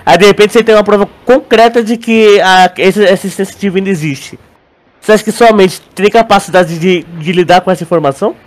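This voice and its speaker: A man's voice: sits high, fast talker. A Brazilian man co-hosting a podcast in casual, slangy chat, often repeating words as he searches for phrasing. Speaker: podcast co-host. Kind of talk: casual chat, theorizing about a show.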